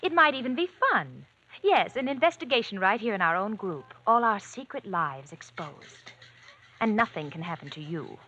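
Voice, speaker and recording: An actress playing a rich young woman in an old radio drama, coy, sugary and quietly scheming. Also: medium pitch, very animated, normal speed. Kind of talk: 1940s radio acting. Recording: dull old radio recording, faint hiss.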